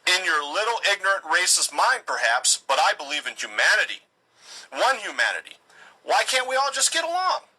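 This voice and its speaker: A man acts out a self-righteous settler leader for a satirical cartoon. The voice is forceful, rough-edged and swings widely in pitch. He lectures and pleads with smug condescension. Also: very animated, normal speed, exaggerated voice, rough recording. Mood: preachy.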